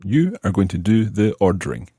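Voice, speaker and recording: A man hosting a language lesson, explaining phrases in a measured way and repeating them for learners. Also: deep voice, big pitch swings, warm tone, breathy edge, no room sound, clean studio sound. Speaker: language teacher and podcast host. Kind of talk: lesson explanation, switching between English and French.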